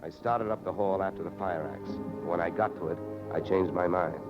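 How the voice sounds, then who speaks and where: A man's voice: sits low, normal speed, dull audio. An American man playing a wisecracking insurance investigator in old radio detective drama style, clipped, sardonic and pushy. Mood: dry narration. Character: hardboiled, wisecracking male freelance insurance investigator. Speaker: lead actor and first-person narrator. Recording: vintage radio recording, music behind.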